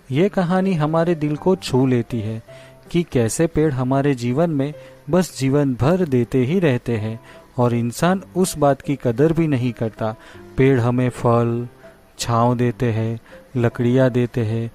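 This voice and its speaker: A man narrating a scripted children's story in a simple, measured way. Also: mid-range voice, dark tone.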